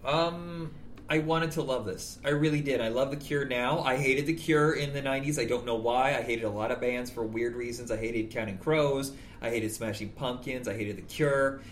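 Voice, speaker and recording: A man's voice: mid-range voice, fairly even intonation, normal speed, a little hiss or hum. A man with a nasal, whiny voice, chatting casually as a music podcast co-host. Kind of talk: casual chat.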